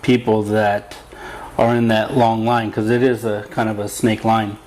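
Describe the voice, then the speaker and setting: A man hosting a casual interview, agreeable and quick to affirm the guests, with many fillers in his speech. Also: lowish voice, level tone, darkish tone, a little hiss or hum. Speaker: host.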